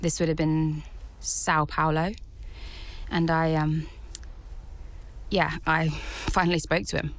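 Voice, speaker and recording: A woman's voice: low-pitched, level tone, slow, long pauses. An actress playing a young female racing driver who resents her family and speaks in a direct, guarded, bitter way. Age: young adult. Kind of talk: video game cutscene acting. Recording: game cutscene with loud vehicle ambience.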